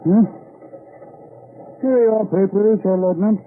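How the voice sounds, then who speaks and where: German train official, high voice, formal and polite, vintage radio drama, narrow noisy recording. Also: male voice, fairly even intonation, measured pace. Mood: officious, polite. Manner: courteous, officious. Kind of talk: old-time radio drama acting. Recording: tinny old radio recording, faint noise.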